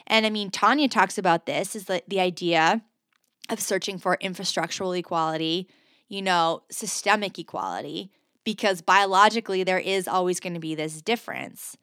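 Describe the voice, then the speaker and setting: A woman's voice: fairly low pitch, moderate pace, fairly bright tone, clear, resonant tone, no echo, studio quality. A woman hosting a podcast interview, speaking earnestly and conversationally, often saying "I mean.". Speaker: interviewer and host.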